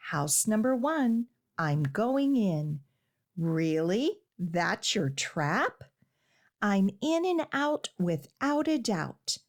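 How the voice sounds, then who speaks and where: A woman voicing a boastful, mischievous leprechaun in a rhyming storybook, with a teasing delivery. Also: mid-range voice. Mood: boastful, mocking.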